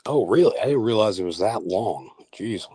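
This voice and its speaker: A man's voice: on the low side, expressive, normal speed, dark tone, slightly rough. A man in casual conversation, speaking informally and chiming in as a chat partner. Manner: informal, interjecting.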